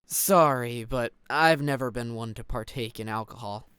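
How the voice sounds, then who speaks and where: An actor playing a calm, courteous, measured male mentor in a fantasy audio drama. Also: medium pitch, smooth, no echo, studio quality, natural voice. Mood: polite. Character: Nobu, calm, polite, insightful male mentor.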